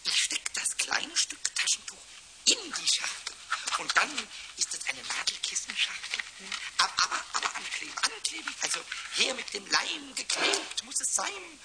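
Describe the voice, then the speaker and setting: A man playing a cheeky, childlike little kobold in a children's radio play, with a squawky voice and excitable, repetitive stammering chatter. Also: moderate pace, heavily acted. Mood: gleeful, scheming. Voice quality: high, squawky cartoonish voice. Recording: hissy, clicky old radio play recording.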